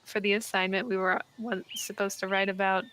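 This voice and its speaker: A young woman answering casual interview questions about her writing in a hesitant, halting way. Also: medium pitch, flat delivery, fairly bright tone, slight background noise. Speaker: story author being interviewed.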